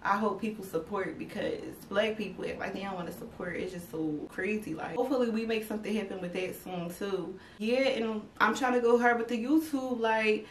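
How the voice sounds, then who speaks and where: A woman's voice: mid-range voice, steady tone, fairly quick, hardly any pauses. A young woman talks straight to her viewers in a loose, rambling way, full of fillers. Her voice sounds a little stuffy and congested. She is recorded in an echoey room, some distance from the mic, with faint hiss underneath. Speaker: YouTube vlogger. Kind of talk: casual chat to camera.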